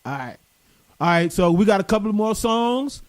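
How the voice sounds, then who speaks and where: A man hosting a radio talk show in a playful, teasing way. His voice sits high for a man. The sound is dry and close on a studio mic. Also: lively intonation, normal speed, no room sound. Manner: playful, laughs often, calls people baby. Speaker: radio host. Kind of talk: casual radio chat.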